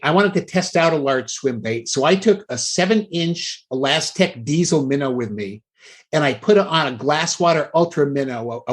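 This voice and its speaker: Male podcast host, slightly high voice, casual anecdotal storytelling.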